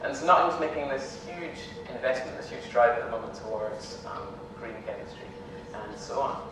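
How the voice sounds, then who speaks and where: Scottish man, lecture, relaxed and halting, distant mic, big echoey hall with a low rumble. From Scotland. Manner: relaxed, hesitant with frequent ums. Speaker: visiting lecturer. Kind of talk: academic lecture.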